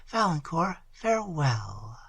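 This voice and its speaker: A man playing a hushed grieving young woman. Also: on the high side, very animated, slow, breathy edge, no echo, full character voice. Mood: soothing. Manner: soft, hushed, trembling.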